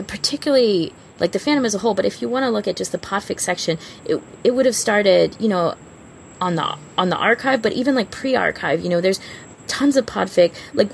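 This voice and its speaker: A woman's voice: medium pitch, animated, a little fast, gravelly, a little hiss or hum, rough recording. A woman co-hosting a casual fan podcast, talking in an informal, rambling way and often interrupting herself. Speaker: podcast co-host.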